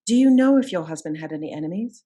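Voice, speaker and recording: A woman acting as a shrewd Edwardian lady detective in a radio play, measured, polite and decisive. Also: lowish voice, lively intonation, dark tone. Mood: grave, courteous.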